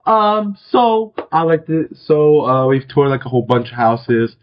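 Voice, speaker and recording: A young man with a hoarse, worn-out voice, chatting casually as he walks viewers through a house in a video game, with many fillers and throat clearings. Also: fairly high pitch, steady tone, unhurried, highs cut off. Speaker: gaming video host. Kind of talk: casual game walkthrough commentary.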